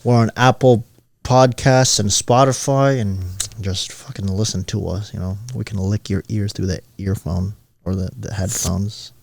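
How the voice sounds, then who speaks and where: A man's voice: fairly low pitch, fairly even intonation, unhurried, creaky, a little mellow, no echo. A man co-hosting a casual podcast, laid-back and slangy, tossing teasing questions at his friend. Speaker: podcast co-host.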